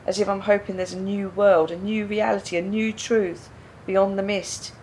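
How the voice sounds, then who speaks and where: An actress playing a quiet, pensive woman burdened by doubt in a radio play, speaking in a reflective, halting way. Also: medium pitch, little pitch movement, a little hiss or hum, lightly acted, low-quality recording. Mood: pensive, sad. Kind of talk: radio play dialogue, naturalistic.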